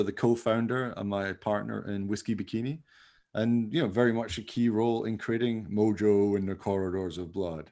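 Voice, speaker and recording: Male podcast host, low register with plenty of fry, informal and jokey, rambling conversational delivery. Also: a little mellow. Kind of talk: casual chat.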